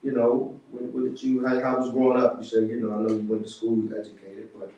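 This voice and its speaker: A man's voice: mid-range voice, monotone, quick pace, dark tone, muffled recording. A man hosting a casual interview show, easygoing and calling people "man". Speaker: show host. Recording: roomy, mic at a distance.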